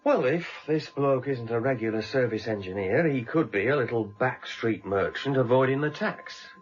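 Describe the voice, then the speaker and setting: A man's voice: medium pitch, animated, normal speed, close to an everyday voice. Guy playing a junior cop, businesslike, up and down delivery, muffled radio play. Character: businesslike male junior police officer. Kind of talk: British radio drama acting. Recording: dull lo-fi radio recording, faint noise.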